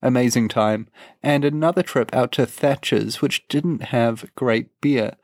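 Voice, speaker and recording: A man co-hosting a travel podcast in a relaxed, rambling way, his voice croaky from a cold. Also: medium pitch, measured pace, no echo, clean studio sound. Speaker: podcast co-host. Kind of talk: casual travel chat.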